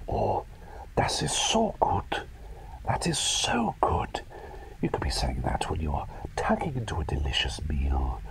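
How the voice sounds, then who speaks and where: Man playing a slow, whispering plague doctor. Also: rumbling low, full character voice, low-quality recording. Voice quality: breathy whisper. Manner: hesitant, muttering, gently soothing and coaxing. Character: eccentric, doting plague doctor who experiments on patients. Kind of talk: whispered ASMR roleplay, personal attention.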